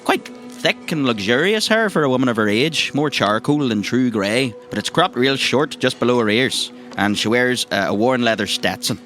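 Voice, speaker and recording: A man's voice: mid-range voice, lively intonation, normal speed, few pauses, a bit bright, faint music behind. A man with a Northern Irish accent narrating scenes informally and descriptively as game master of a tabletop game podcast.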